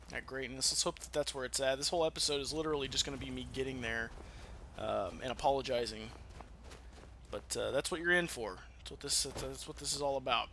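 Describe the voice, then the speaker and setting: Man, higher-pitched, casual gamer commentary, profane and excitable, faint noisy game ambience. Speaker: gamer hosting a let's play. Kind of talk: casual off-the-cuff gameplay commentary. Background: video game ambience.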